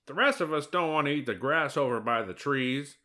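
A man's voice: fairly high pitch, expressive, a little fast, pushed and bright, pitched above the performer's own voice. A man voicing Joey, a stubborn, contrary male zebra.

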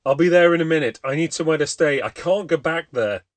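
A man's voice: a little high, moderate pace, no room sound, pitched above the performer's own voice, natural voice. A man voicing a panicked young man haunted by a ghost, breathless and pleading.

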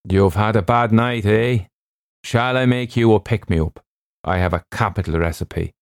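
A man's voice: on the low side, measured pace, smooth, dry recording, studio quality. A man voicing a brusque, self-assured ship's surgeon in an audiobook, with clipped, emphatic, old-fashioned speech. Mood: triumphant, affable.

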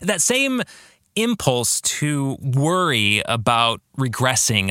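A man hosting a reflective solo podcast, speaking in deliberate, emphatic phrases. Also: mid-range voice, bright, forceful tone, no echo, studio quality. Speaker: podcast host and author.

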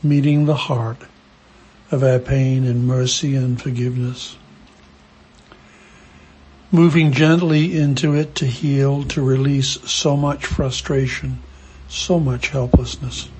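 A man leading a guided meditation, speaking gently in short phrases with long pauses between them. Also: mid-range voice, fairly even intonation, husky.

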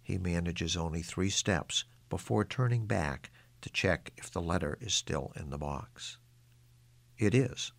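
A man's voice: sits low, a little slow, gravelly, no room sound. A man reading his own literary short story aloud as a scripted narration.

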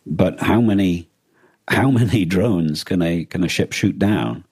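Male podcast co-host, dark husky tone in a dry close recording, reflective and halting. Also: deep voice, no room sound. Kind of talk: reflective conversational discussion.

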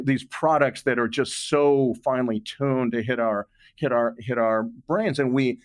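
A man, speaking off the cuff as an interview guest, thinking aloud and often repeating and restarting his phrases. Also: mid-range voice. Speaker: interview guest, author and journalist. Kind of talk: unscripted explanatory interview talk.

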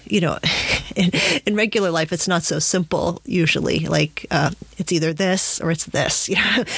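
A woman's voice: on the low side, slightly rough, no echo. A woman hosting a reflective conversational interview, searching for her words as she goes. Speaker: podcast host.

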